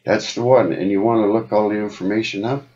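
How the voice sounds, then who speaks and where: A very low-voiced man speaks as a caller pressing his concerns in an informal way. He is insistent and rambles, on a muffled, lo-fi phone recording. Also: steady tone, fairly quick, a little mellow. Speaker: caller making a complaint. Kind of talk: phone call, informal advocacy talk. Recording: dull lo-fi phone call recording.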